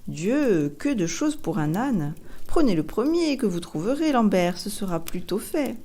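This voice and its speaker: A woman voicing an impatient, excitable little girl in a storybook, eager and demanding. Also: mid-range voice, unhurried.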